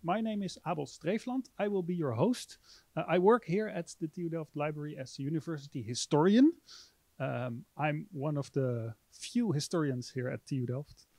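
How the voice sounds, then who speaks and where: A man's voice: a little high, moderate pace, darkish tone, dry recording. A man in his thirties hosting a university lecture, speaking hesitantly with many fillers into a handheld mic in a library. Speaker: host. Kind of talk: introducing a public lecture.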